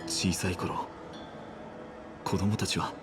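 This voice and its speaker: Low, soft solemn young hero, acted by a man. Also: flat delivery, normal speed, lightly acted. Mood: solemn, resolute. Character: solemn, resigned young male hero of destiny. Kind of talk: dramatic Japanese game voice acting. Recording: game music under the dialogue.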